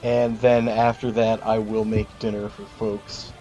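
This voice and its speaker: A man's voice: lowish voice, little pitch movement, a little slow, warm tone, faint music behind. A man playing a tabletop roleplaying game, switching between casual table talk and in-character speech.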